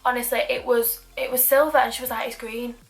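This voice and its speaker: A woman's voice: fairly high pitch, level tone, quick pace, gravelly, soft background music, poor audio. Chatty young Mancunian woman. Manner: chatty, self-deprecating asides while working. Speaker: beauty vlogger. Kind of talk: chatty makeup tutorial.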